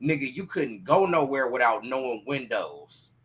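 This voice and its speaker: Bright-voiced man, animated casual podcast talk. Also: mid-range voice, moderate pace, rough recording. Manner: animated, slangy, profane. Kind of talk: casual panel discussion.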